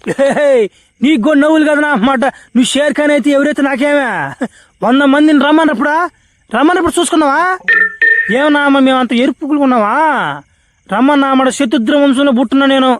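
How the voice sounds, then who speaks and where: Squeaky, fast cartoon warrior. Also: male voice. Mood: defiant boasting. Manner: blustering, repetitive slangy cursing. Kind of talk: comic cartoon parody voice-over.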